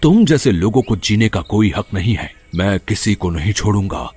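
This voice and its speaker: Casting: male actor as menacing ghost-bear out for revenge, rough husky voice, accusatory, cartoon horror tale. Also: on the low side, animated, normal speed, a little mellow, faint music behind. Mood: bitter, enraged. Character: vengeful ghost of a young man inside a bear. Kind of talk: dramatic Hindi animated story acting.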